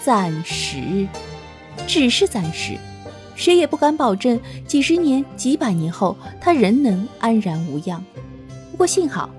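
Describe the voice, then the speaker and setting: Female audiobook narrator, soft and measured, somewhat high pitch, music under. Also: very animated. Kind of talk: scripted narration. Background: background music.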